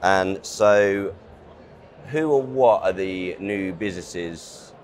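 A man's voice: sits low, slow, a little hiss or hum. A young man in his twenties interviewing in a studio, asking hesitant questions full of fillers. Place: small studio with branded backdrop.

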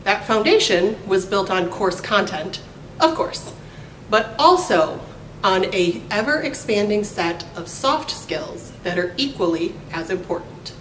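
A middle-aged American woman delivers a prepared commencement speech in a deliberate, measured way with pauses. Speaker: college faculty speaker. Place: indoor room at a podium. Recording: echoey room, distant mic, faint rumbling noise.

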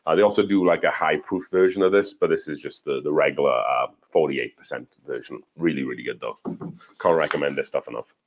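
A man's voice: a bass voice, brisk. A middle-aged man from Wales with a British accent, chatting casually as a livestream guest. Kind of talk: casual chat. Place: indoor home bar.

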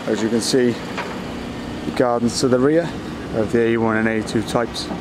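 A young man gives a brisk, upbeat sales walkthrough, talking in short clipped phrases. His voice bounces around bare, echoey rooms. Heavy building-site noise runs loud underneath, picked up by a camera mic. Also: mid-range voice, fairly even intonation. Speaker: estate agent. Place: unfinished timber-frame house and outdoor building site.

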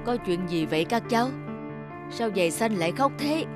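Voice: mid-range voice, expressive, a little fast, music in the background, close to an everyday voice. An actor voicing a kindly but stern old grandfather clock in a cartoon, speaking like a protective uncle. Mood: concerned. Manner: avuncular, declarative. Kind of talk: cartoon dubbing.

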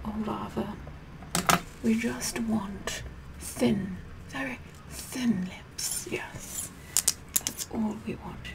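Woman playing slow, whispery haughty queen. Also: mid-range voice, fairly even intonation, poor audio. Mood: smug, instructive. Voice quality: breathy, half-whispered. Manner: pompous, deliberate, repeats words softly. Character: haughty, sassy, bossy English queen, vain and regal. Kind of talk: ASMR roleplay makeup tutorial, whispered and soft-spoken.

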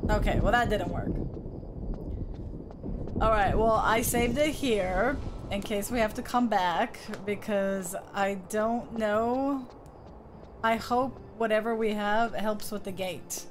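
A woman's voice: medium pitch, slow, noisy background. A young woman streaming a game, chatting to the character on screen and to her viewers from a small room. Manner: chatty, talks to the game character and reads chat aloud. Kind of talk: live game commentary. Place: small room with colorful lights behind.

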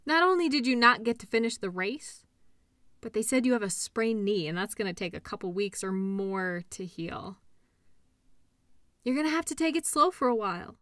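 A woman's voice: fairly high pitch, animated, normal speed, fairly bright tone, no echo, clean studio sound. A woman playing a caring, upbeat girlfriend in an intimate roleplay audio, talking in a soothing, playful, encouraging way. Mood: tender, sympathetic. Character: caring, upbeat girlfriend comforting an injured partner.

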